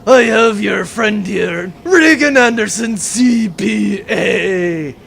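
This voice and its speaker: Bellowing high-pitched pirate villain. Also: male voice, takes it slowly, gravelly, a bit bright, a little hiss or hum, exaggerated voice. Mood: furious. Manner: blustering, theatrical pirate talk with florid oaths. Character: bellowing, vain, educated pirate villain, drunken bluster. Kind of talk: comic sci-fi audio drama acting.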